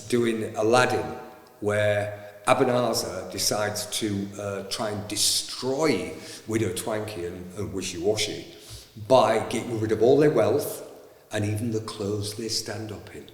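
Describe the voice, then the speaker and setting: A man telling a lively backstage story and acting out the voices. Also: on the low side, a little slow. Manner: animated, acts out voices in his stories. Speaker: panto actor. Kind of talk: anecdotal storytelling. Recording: roomy, echoey with long reverb.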